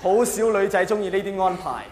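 A man with a Hong Kong accent performing a self-mocking, mock-earnest stand-up comedy monologue in an animated way. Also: high voice, fairly quick. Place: large live venue with long reverb. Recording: echoey hall, faint noise.

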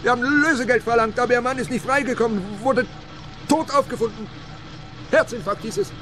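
An actor playing a simple gas station employee who stammers and repeats words in a radio play. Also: male voice, very high voice, fairly quick, fairly bright tone, a little hiss or hum. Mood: naive, chatty.

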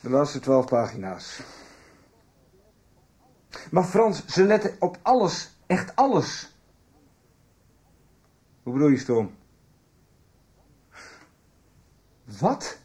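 An actor playing a cornered, agitated man in a halting phone conversation in a radio soap. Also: a little high, mellow. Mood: alarmed, indignant. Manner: halting, agitated, one-sided phone talk. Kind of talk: Dutch radio soap acting.

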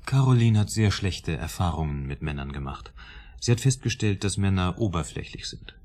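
Deep-voiced, proud, troubled therapy patient. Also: male voice, lively intonation, normal speed, a little mellow. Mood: earnest, devoted. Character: proud, troubled male psychotherapy patient, earnest loner. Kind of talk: German radio drama acting.

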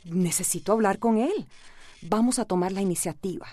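An actress with a Latin American accent playing a firm, decisive lawyer in a radio soap opera, probing and authoritative. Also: female voice, mid-range voice, moderate pace, mellow, close to an everyday voice. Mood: decisive, businesslike.

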